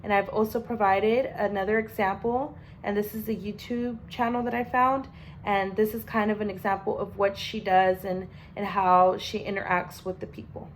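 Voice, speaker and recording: Young woman student rambling casually about her language class, middle pitch, faint background hiss. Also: flat delivery, normal speed. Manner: chatty, full of fillers and restarts. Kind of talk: casual spoken class presentation.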